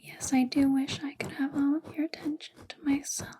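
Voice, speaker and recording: A woman playing a possessive, flirtatious boss in a soft-spoken ASMR roleplay, whispery and breathy, with an intimate, coaxing, teasing manner. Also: high voice, level tone, moderate pace, lightly acted. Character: possessive, obsessed, flirtatious female boss doting on employee.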